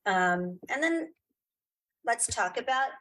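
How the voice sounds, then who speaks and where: A middle-aged woman chatting casually as an interview guest, giving opinions in halting, repeated phrases. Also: fairly high pitch, expressive, a bit bright, rough recording. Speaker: guest, playwright and audio drama creator.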